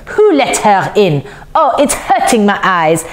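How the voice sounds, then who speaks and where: A woman voicing a rotund, pompous male fashion designer in a storybook, shrill, theatrical and imperious. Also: very animated, unhurried, slight background noise. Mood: shrill frenzy.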